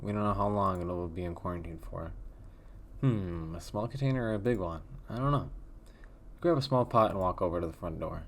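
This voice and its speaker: A man reading a text horror game aloud in a hushed, measured way with a wondering, uneasy tone. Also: sits low. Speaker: let's play host.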